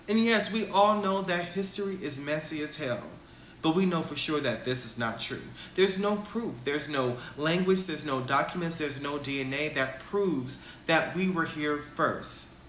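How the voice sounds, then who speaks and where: A man lecturing his viewers in an earnest, direct way. His voice is bright and forceful and sits a little high. He is recorded in an echoey room with faint noise behind him. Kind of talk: casual social media monologue.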